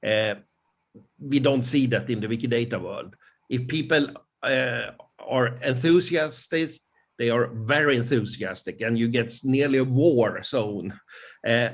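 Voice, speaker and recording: An older man with a Swedish accent giving an informal talk over a video call, pausing often with fillers. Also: mid-range voice. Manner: hesitant, many fillers. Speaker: conference presenter. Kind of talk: informal presentation.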